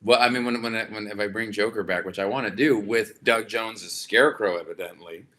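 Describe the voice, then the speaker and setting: A man with a slightly raspy voice hosting a casual livestream chat, rambling warmly with lots of fillers and restarts. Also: fairly low pitch, fairly quick. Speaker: livestream host. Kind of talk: casual live chat with viewers.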